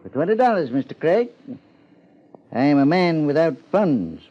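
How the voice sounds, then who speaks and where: An actor playing a grumbling, folksy old small-town clerk in a 1950s radio drama. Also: male voice, on the high side, very animated, normal speed. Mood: aggrieved. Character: crotchety, penniless old small-town former record keeper, male. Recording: muffled 1950s radio broadcast.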